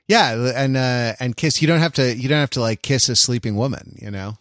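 A man's voice: mid-range voice, a little fast, a bit bright, dry recording. Dry-humored man, close dry sound. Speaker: podcast host. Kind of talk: casual joking chat.

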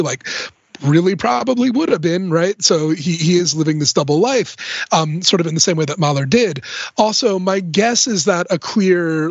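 A man's voice: a little high, breathy edge, dry recording, highs cut off. A man talking as a podcast panelist in a casual, analytical way, explaining at length and often checking in with the listener.

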